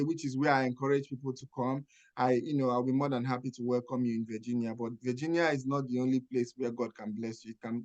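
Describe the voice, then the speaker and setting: A man from Nigeria with a Nigerian accent talks over a video call as a nurse mentor. He speaks emphatically, often stopping to check that his listeners follow. His voice sits at an ordinary middle pitch. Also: darkish tone. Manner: emphatic, checks in with "Okay?" and rhetorical questions. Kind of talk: advice talk on a video call.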